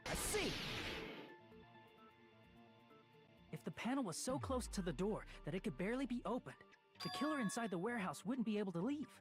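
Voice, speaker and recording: A man's voice: high voice, very animated, fast. An actor playing a serious, analytical young male student in an anime game dub. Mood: pensive, deducing. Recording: game music behind the voice.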